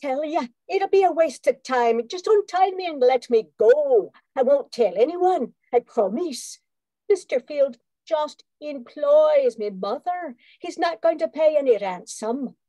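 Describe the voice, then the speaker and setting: A woman's voice: high-pitched, lively intonation, measured pace, dark tone, no room sound. A woman playing a frightened, pleading young student held hostage in a melodramatic old-time radio drama. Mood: pleading, alarmed.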